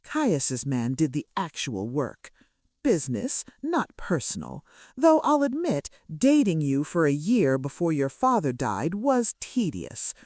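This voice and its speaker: A woman's voice: low-pitched, sing-song, a little slow, dark tone, no echo, studio quality, exaggerated voice. A woman voicing a clinical, sardonic male kidnapper who speaks with casual menace in a romance audiobook. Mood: callous, sardonic. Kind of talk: romance audiobook character dialogue.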